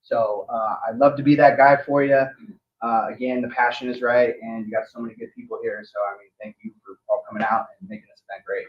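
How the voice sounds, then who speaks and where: Young man speaking from a distance. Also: quick pace. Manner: relaxed, friendly, informal pitch. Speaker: chiropractor. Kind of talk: casual speech to an audience. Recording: distant, dull event audio.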